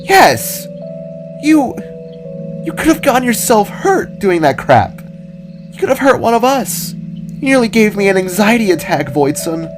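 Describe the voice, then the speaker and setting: A young female wolf from an audio story, acted out by a man, anxious and emotional, pitched high. The words come haltingly, with stammers, over background music. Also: very animated. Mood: frantic scolding.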